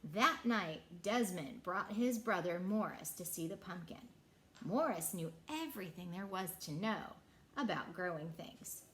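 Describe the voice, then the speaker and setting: A woman reading a picture book aloud as a children's storyteller, with deliberate, dramatic phrasing. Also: fairly low pitch, lively intonation, a bit bright.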